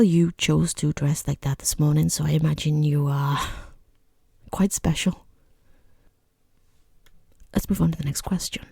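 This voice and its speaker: A person voicing a rude, condescending boss in a soft-spoken ASMR roleplay, with a breathy half-whisper, hesitant pauses and dryly mocking, falsely polite understatement. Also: mid-range voice, no room sound. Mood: probing, dry. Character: rude, condescending boss conducting a job interview.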